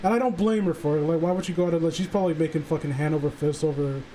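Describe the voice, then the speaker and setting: A man chatting casually on a stream, halting and rambling as he earnestly works through his thoughts. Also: on the high side, warm tone. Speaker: stream participant. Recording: faint background noise.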